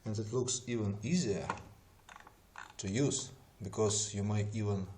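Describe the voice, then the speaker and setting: A man who is not a native English speaker narrates an explanatory software tutorial in a methodical, step-by-step way. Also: on the low side, measured pace, a little hiss or hum.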